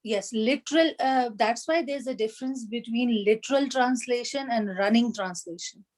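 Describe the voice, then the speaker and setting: A woman teaching an online Arabic class, explaining grammar and translation patiently, with frequent fillers and pauses. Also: fairly high pitch, darkish tone.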